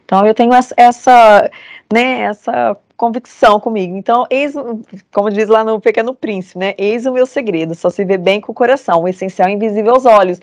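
A woman's voice: a little high, fairly quick, a little mellow, no echo. A woman in her forties from Brazil, giving an earnest, emphatic motivational talk to students over a video call. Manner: earnest, emphatic, repeats phrases for conviction. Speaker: lecturer.

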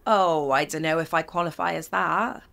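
A young woman in a period audio drama, chatty and teasing, a little gravelly at the edges. She is bold and lively in every line. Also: sits low, moderate pace. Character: young working-class woman emigrating, teasing. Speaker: photography client.

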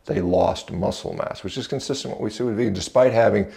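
A man with an American accent speaks in a deep voice full of heavy creak. He gives unscripted opinions bluntly and dismissively, talking conversationally as if straight to a viewer. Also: quick pace, mellow. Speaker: video reviewer and commentator. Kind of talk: unscripted opinion commentary to camera. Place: indoors, home entrance hall. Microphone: small clip-on lapel mic.

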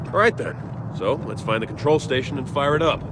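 A man's voice: mid-range voice, expressive, fairly quick, heavy background noise, lightly acted. An actor playing a determined young male squad leader, firm and earnest. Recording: game audio over music.

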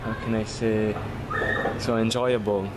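Italian-accented interviewer, street noise. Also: male voice, on the low side, level tone, unhurried. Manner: non-native phrasing, searching for words. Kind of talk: casual street interview questions. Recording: loud outdoor street noise.

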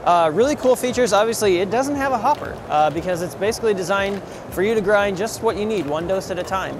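A man's voice: sits high, animated, fast talker, background noise. A young man hosting a trade show walkthrough, talking casually and upbeat with a lot of fillers, in a large convention hall. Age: young adult. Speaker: coffee equipment show host.

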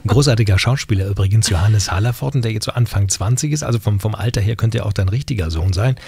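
Male radio host, middle-aged, lowish and raspy, chatting with a guest on a close mic. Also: quick pace, a little mellow, dry recording. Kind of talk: conversational radio interview. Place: small radio studio. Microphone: close studio microphone on boom arm.

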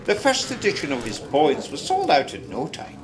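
A man's voice: a little high, wildly swooping pitch, normal speed, a crowd in the background. An actor playing a droll, gossipy Scottish man who tells a tale in a chatty, theatrical way for a radio drama. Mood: gossipy, admiring. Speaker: narrator.

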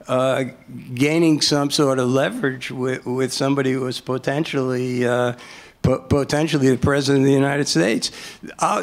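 An older man speaking as a panelist in an informal lecture style, halting and repeating words for emphasis, at a table microphone. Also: on the high side, vocal fry. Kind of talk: informal lecture, answering audience questions.

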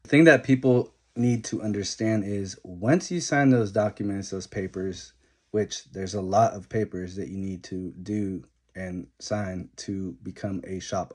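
A young man speaks in a fairly low voice, laying out practical advice point by point in an earnest, plain way. He sounds close to a phone mic in a small room at home. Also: darkish tone. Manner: earnest, straightforward advice, list-like delivery. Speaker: barber and barbershop owner. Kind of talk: talking-head advice vlog. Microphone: phone camera held close.

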